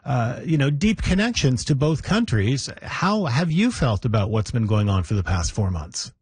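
A man hosting a broadcast interview, putting questions in a measured, polite way. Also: mid-range voice, big pitch swings, darkish tone, slightly rough, dry recording. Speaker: radio interviewer, host.

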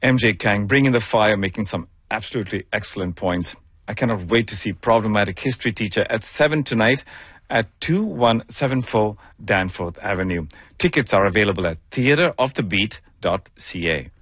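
A man hosting a radio arts segment, reading introductions in a lively presenter manner. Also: on the low side, moderate pace, breathy edge, no room sound.

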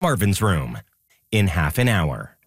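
Lively, dry-miked male radio announcer. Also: deep voice, takes it slowly, no room sound. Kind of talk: scripted radio promo narration. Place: radio studio.

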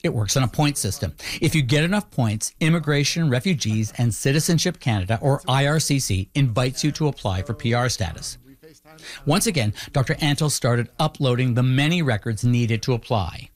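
A man narrating a documentary from a script in a measured, explanatory way. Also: medium pitch, lively intonation. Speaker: narrator, host.

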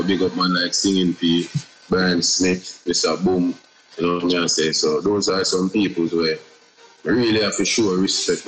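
A Jamaican man talking about his music in a relaxed interview, unhurried and repetitive, often adding "you know what I say". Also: deep voice, poor audio. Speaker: independent musician guest. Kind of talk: casual interview answers.

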